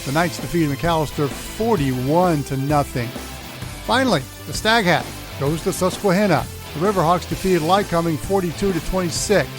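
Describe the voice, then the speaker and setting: A man's voice: fairly high pitch, moderate pace, over music. A man hosting a sports show, running through matchups and results in conversation with a guest.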